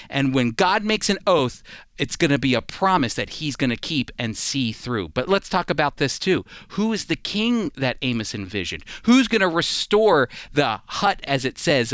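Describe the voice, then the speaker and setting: A man's voice: a little high, fairly bright tone, clear, resonant tone, no echo, studio quality. A man preaching and teaching from the Bible, earnest and emphatic, drawing out key words. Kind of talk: sermon, expository Bible teaching.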